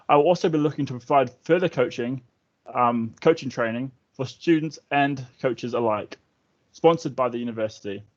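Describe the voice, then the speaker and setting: A young man with a New Zealand accent and a mid-pitched voice gives a scripted campaign speech. He now and then trips over a word, and the recording is a little dull and lo-fi. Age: young adult. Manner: reads from a script, occasionally stumbling. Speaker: student election candidate.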